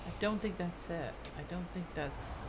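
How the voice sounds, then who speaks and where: A woman game streamer casually commenting on her play and reading quest text aloud, musing and halting as if to herself. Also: fairly low pitch. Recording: clear game ambience and noise. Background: video game ambience.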